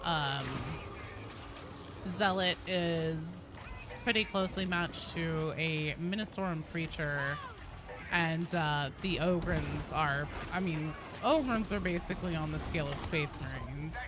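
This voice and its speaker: A woman's voice: low voice, takes it slowly, over music. A woman game streamer chatting casually and informally while she plays, with slangy talk and plenty of swearing. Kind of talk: casual live stream chat with gameplay commentary.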